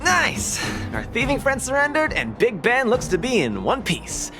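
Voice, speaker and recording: Cocky, animated young champion fighter. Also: male voice, very high-pitched, normal speed, music in the background, heavily acted. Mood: proud, upbeat. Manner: boastful, theatrical, eager. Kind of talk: animated video game voice acting.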